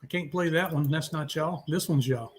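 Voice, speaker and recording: A man's voice: on the high side, normal speed. A man hosting a music talk show in casual, rambling, unscripted chat. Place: remote live stream call.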